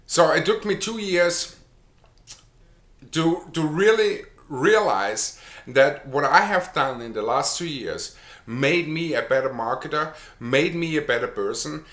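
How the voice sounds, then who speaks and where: Bright-voiced Austrian man telling his story. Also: a little high, animated, takes it slowly, roomy sound. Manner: chatty, repeats words and restarts with many uh's. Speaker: online marketer. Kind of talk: casual personal story to camera.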